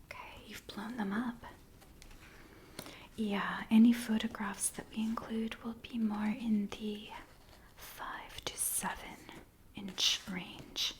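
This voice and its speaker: Female ASMR roleplayer as a biographer: whispered, slow delivery, gentle and attentive, one-to-one with the listener. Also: medium pitch, steady tone. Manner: gentle, attentive, unhurried, speaking directly to the listener as a client.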